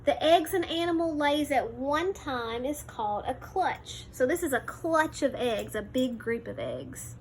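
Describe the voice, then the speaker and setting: High-voiced woman reading to children. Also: very animated, a little slow, few pauses, a little hiss or hum. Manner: child-directed, explains simply, points things out. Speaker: teacher reading aloud to young children. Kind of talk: picture book read-aloud with explanatory asides.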